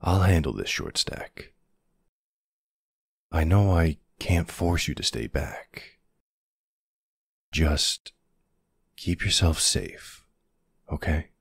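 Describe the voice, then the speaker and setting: A man playing a protective, gruff but tender older boyfriend in an ASMR audio roleplay, unhurried and plain-spoken, with a husky, gravelly voice. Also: rumbling low, big pitch swings, no room sound, clean studio sound, heavily acted. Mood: wary.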